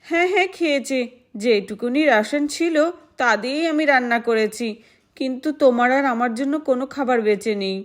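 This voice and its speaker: A woman's voice: high-pitched, brisk, lightly acted. A woman voicing a poor, worried, caring village mother in a doll-play story, exclaiming in a fretful, motherly way. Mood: resigned.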